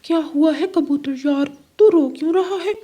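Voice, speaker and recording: A woman's voice: extremely high pitch, normal speed, dark tone. A woman voicing a male parrot, a loyal and consoling friend, in an emphatic Hindi cartoon style. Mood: concerned. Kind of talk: Hindi animated story dubbing.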